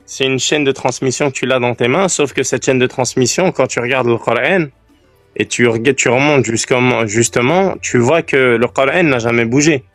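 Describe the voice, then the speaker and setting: A man who is a guest in a calm live-stream debate, speaking earnestly and in a friendly way while he searches for words. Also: mid-range voice, normal speed, no echo, soft background music. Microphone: remote live-stream call.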